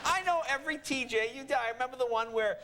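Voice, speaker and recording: A man in his forties hosting a late-night talk show, joking and teasing his guest in quick banter. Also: very high-pitched, crowd noise. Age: 40s. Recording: noisy satellite broadcast with loud studio audience.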